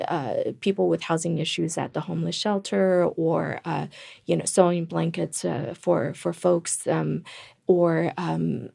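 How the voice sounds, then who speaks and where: A woman's voice: lowish voice, gravelly, mellow. A middle-aged woman from Taiwan, a podcast guest talking earnestly about her family, with many fillers and restarts. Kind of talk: interview conversation.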